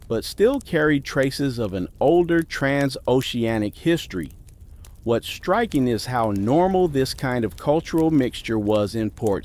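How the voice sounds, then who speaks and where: Lively-pitched male documentary narrator. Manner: measured, emphatic list delivery.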